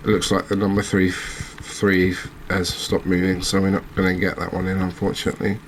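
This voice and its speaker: Casting: male game streamer, deep and flat-toned, understated ASMR commentary, slight background noise. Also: fairly quick. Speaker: gaming channel host.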